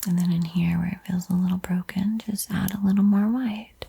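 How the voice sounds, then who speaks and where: A woman whispers painting instructions in a soothing, encouraging ASMR style, with a breathy, airy voice. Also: on the low side, steady tone, a little fast, warm tone. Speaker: art tutorial host.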